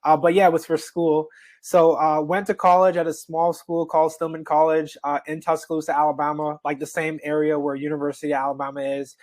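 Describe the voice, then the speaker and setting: A young man from the Bahamas speaks as a guest, friendly and easygoing, with frequent fillers. His voice sits a little high, and the recording is dry and close. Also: little pitch movement, brisk, darkish tone, no room sound. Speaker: guest investor. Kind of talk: casual interview talk.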